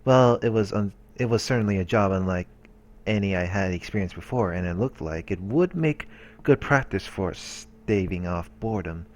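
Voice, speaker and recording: A man with a low voice reads visual novel narration aloud as a let's player, dropping in casual asides. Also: lively intonation, moderate pace, darkish tone. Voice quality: low-pitched. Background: game music.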